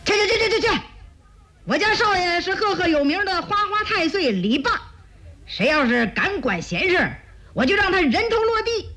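A woman's voice: very high voice, very animated, normal speed, bright, forceful tone, voice pushed up, exaggerated voice. A woman storyteller voicing a skinny, bullying male henchman who barks out threats. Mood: threatening, boastful. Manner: barking threats.

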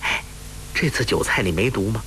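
A man voicing an earnest, simple-hearted young man who talks quickly and plainly in a storytelling audiobook. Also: on the low side, expressive, bright, forceful tone, slight background noise.

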